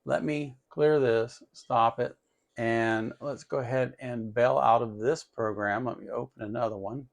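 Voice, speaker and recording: A man's voice: medium pitch, fairly even intonation, a little slow, vocal fry. A man hosting an electronics tutorial in a casual, unscripted way, explaining step by step as he works and often repeating himself.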